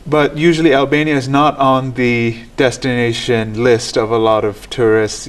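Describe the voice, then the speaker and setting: A man hosting a studio interview show, asking questions in a measured, formal way. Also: medium pitch. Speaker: talk show host.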